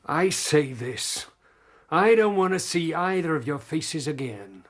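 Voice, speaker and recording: A man's voice: fairly high pitch, animated, a little slow. An actor playing a blunt, defiant, protective middle-aged frontier father in a radio drama.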